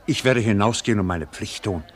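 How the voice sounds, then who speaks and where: An actor playing an earnest, righteous pastor in a radio play, speaking courteously and formally. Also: male voice, fairly low pitch, a little fast, close to an everyday voice. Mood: solemn, resolved. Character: earnest, conciliatory male pastor, genteel and righteous. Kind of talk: theatrical German radio play acting.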